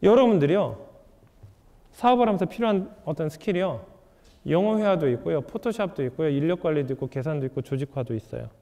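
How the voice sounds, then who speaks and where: A man giving an author's lecture to an audience, putting rhetorical questions to the room in a lively way. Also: on the high side, quick pace. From South Korea. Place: lecture hall. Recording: reverberant lecture hall.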